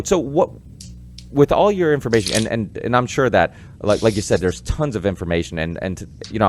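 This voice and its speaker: A man in his twenties hosting a podcast, his voice low and deep. He rattles along quickly and stumbles over his words as he rambles. A faint hiss of background noise sits under the recording. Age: 20s. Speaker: podcast host. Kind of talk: podcast interview.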